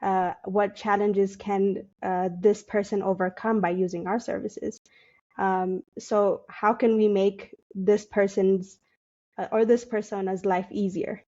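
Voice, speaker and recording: A young woman from Ethiopia, a digital marketer, answering interview questions thoughtfully with many pauses and fillers. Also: medium pitch, flat delivery, mellow, dull audio. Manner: thoughtful, hesitant with many fillers, laughs often. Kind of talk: casual interview.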